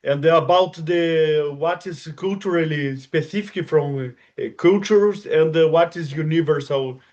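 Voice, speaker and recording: Slow, halting man interviewing. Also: fairly high pitch, a little mellow, dull audio. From Brazil. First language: Portuguese. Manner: halting, searching for words in a second language. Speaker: interviewer, academic. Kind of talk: conversational interview.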